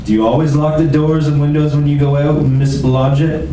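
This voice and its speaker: A man plays a world-weary homicide lieutenant in a radio play, grumbling and sardonic. The voice sits back from the mic in a very echoey room, with clear noise behind it. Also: a little high, steady tone, moderate pace, warm tone, close to an everyday voice. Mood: businesslike. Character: grumpy, weary male police homicide lieutenant. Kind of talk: old-time radio detective drama. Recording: roomy, distant microphone, noticeable background noise.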